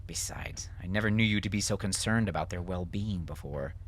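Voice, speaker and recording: A man's voice: low-pitched, a little fast, a little hiss or hum, close to an everyday voice. A man voicing a defensive middle-aged doctor, husband and father, with a firm, weary, self-justifying manner in an audiobook. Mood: frustrated, firm.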